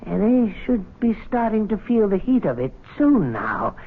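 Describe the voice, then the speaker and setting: A man's voice: high-pitched, very animated, moderate pace. An actor playing a spiteful, gleeful older male club servant in a radio drama, grumbling and scornful, moving between muttering and exclaiming. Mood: gleefully sly. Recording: tinny, band-limited, noisy old radio broadcast.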